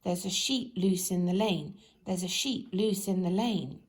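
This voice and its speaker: A woman's voice: lowish voice, a little slow, close to an everyday voice. Woman voicing a muddled, good-natured storybook little man. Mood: concentrating. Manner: hesitant, dithering, stumbling over thoughts.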